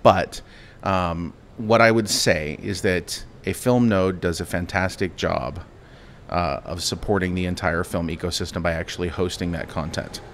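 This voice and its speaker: A man answering interview questions at a conference in a relaxed, casual way, with the odd restart. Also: deep voice, a little hiss or hum. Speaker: interview guest, company executive. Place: crypto conference venue.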